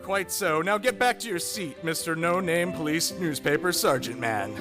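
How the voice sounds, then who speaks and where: A man's voice: fairly high pitch, normal speed, over music. A man hosting as announcer in a theatrical old-time radio style, with a grandiose, showmanlike delivery. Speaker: host, announcer.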